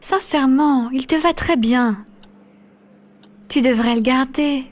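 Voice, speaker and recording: Unhurried, tender young woman. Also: high voice. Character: affectionate, teasing young woman, warm and encouraging. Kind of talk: video game cutscene voice acting.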